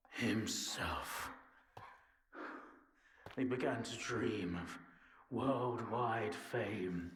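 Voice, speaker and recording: An actor playing an older male scientist in a video game, regretful and bitter, with a deliberate, sardonic, theatrical delivery. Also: mid-range voice. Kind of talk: dramatic video game monologue. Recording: roomy echo with noise behind.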